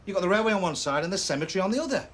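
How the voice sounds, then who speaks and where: A man's voice: high voice, animated, very fast, a bit bright. A man playing a dogged, impassioned British police detective in a TV drama, arguing bluntly and insistently. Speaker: detective constable. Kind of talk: naturalistic TV police drama acting.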